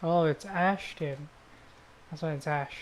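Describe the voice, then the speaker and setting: A woman's voice: sits low, unhurried, heavy background noise. A woman streamer reading visual novel narration aloud, now and then stumbling and rereading phrases.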